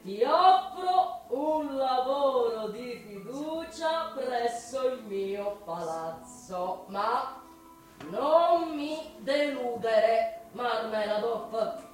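A woman's voice: fairly high pitch, expressive, extremely slow, soft background music. A woman playing a lofty, condescending male dignitary, imperious and declamatory, in a radio drama. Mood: lofty, stern. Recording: very echoey, distant from mic.

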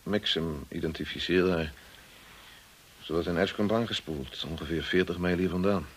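A loyal, discreet estate manager in a radio play, with a low, husky voice. He speaks courteously and with measured reticence, kindly at heart. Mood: sober, reticent. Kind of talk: Dutch radio drama acting.

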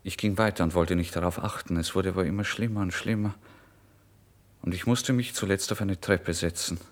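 A man narrating a literary first-person story in a brooding, expressive way, moving between hushed and emphatic delivery. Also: low-pitched, brisk. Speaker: audiobook narrator.

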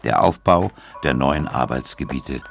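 A man reading scripted documentary narration in a measured manner, with dramatic pauses. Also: very deep voice, creaky, over music.

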